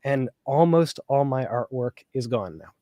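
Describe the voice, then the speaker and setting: A man's voice: medium pitch, expressive, a little slow, mellow. An easygoing man in casual conversation, talking in a wry, matter-of-fact way. The sound is dry and close, with no room echo. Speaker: reseller and YouTuber. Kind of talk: casual chat.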